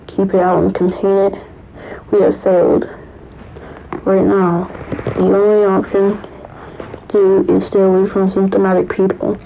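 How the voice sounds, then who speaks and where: A woman reading a scripted emergency alert announcement in a halting, amateur read-out manner. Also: mid-range voice, vocal fry, highs cut off, a little hiss or hum. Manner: flat, halting read-out delivery with stumbles. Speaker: narrator of a homemade emergency alert scenario.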